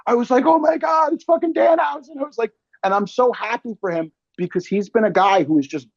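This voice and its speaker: A man in casual chat as an interview guest, telling stories in an animated, slangy way and swearing freely. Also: very high voice, fairly quick, no echo, highs cut off. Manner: slangy, calls listener man and brother. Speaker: interview guest, actor and filmmaker.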